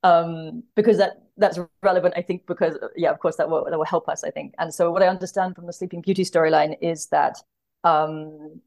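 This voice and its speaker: A woman's voice: fairly low pitch, fairly even intonation, fairly quick, creaky, a little mellow. A woman guest talking thoughtfully about literature in conversation, hesitant and correcting herself as she thinks aloud. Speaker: podcast guest, literary translator.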